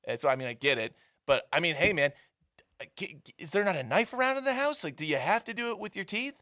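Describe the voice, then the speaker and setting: A man co-hosting a casual movie review podcast, animated and swearing freely. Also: on the high side, no echo, studio quality. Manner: animated, swearing, quick asides. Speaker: podcast co-host.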